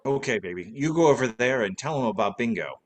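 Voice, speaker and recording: Casting: actor for wisecracking detective husband, mid-pitched, brisk interrogator, in charge, old-time radio drama style. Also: male voice, animated, close to an everyday voice. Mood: decisive. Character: wisecracking former private detective husband, cool and commanding.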